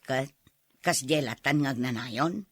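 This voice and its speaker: A woman's voice: extremely low pitch, unhurried, fairly bright tone, husky, no echo, exaggerated voice. A woman playing a weary older Filipino mother and wife in a radio drama. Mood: weary, grumbling. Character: older mother, weary wife venting about her husband.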